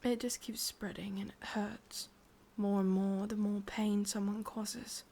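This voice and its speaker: A woman with an English accent playing an ancient, lovelorn witch in an ASMR roleplay, speaking intimately in soft, breathy whispers with musing pauses. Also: mid-range voice, steady tone. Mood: musing, guarded.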